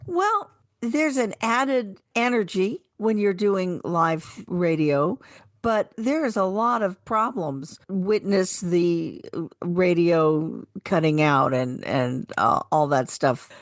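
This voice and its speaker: Casting: woman as radio co-host, conversational, expressive intonation, close dry sound. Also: fairly low pitch, slow pace, no room sound. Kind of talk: casual radio chat with some program introductions.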